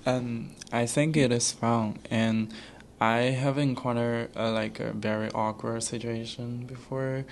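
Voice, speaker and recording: A young man, an international student, telling a story on student radio with many pauses and hesitations. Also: mid-range voice, fairly even intonation, gravelly, slight background noise. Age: young adult. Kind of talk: casual student radio chat.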